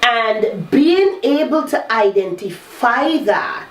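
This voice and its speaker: A woman hosting a talk show, congested from a cold, coaching listeners in a slow, measured way. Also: fairly high pitch, lively intonation. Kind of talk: reflective self-help talk. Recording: roomy echo, distant mic, faint noise.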